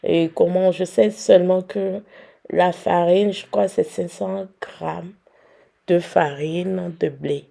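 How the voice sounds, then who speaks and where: Hoarse young woman, unhurried and gravelly, teasing viewers while she cooks. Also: fairly low pitch, fairly even intonation, dark tone. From Benin. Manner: chatty, teasing, addresses viewers directly. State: hoarse from a cold. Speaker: cooking vlogger. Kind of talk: casual cooking demonstration.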